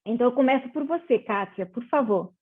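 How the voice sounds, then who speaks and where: Portuguese lady w a bit of brazilian accent, kinda high voice, thin phone-like call audio, earnest n measured. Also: expressive, breathy edge. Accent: Portuguese, with Brazilian tones. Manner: earnest, measured, with small hesitations. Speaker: panel moderator. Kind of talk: reflective panel talk.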